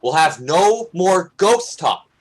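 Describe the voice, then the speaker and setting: A young man playing a steady, commanding sailing captain in an amateur radio play. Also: high-pitched, slow, heavily acted. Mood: firm resolve.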